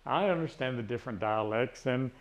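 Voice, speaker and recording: A man's voice: medium pitch, a little fast. A man in his sixties with a Tennessee Southern accent, chatting casually and rambling with many restarts. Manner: rambling, frequent restarts and fillers. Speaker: retiree vlogger. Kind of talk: casual chat.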